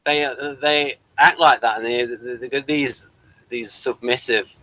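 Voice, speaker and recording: A man's voice: medium pitch, steady tone, measured pace, pushed and bright, no echo, low-quality recording. A man hosts a casual review chat in a mid-range voice. The sound is dry, with very little room around it, and his delivery is conversational and unscripted. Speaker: podcast host.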